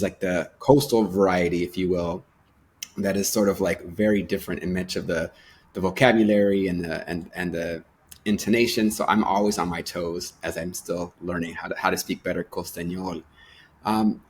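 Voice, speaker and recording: A man's voice: low-pitched, a little hiss or hum. A man hosting an interview podcast, reading a polished intro and then asking questions in an easygoing, earnest way. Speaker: podcast host.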